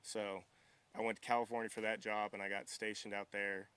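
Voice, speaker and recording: Bright, clear, slightly low-voiced young man. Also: little pitch movement, a little fast. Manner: polite, modest, easygoing. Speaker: interview guest, military veteran and welder. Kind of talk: casual interview answers.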